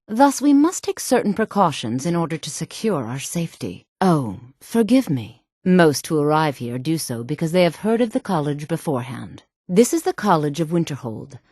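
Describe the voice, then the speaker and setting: An actress playing a proud, composed female senior wizard in a fantasy video game, with a sultry voice and formal, measured, lofty delivery. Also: lowish voice, warm tone, slightly rough, dry recording, studio quality.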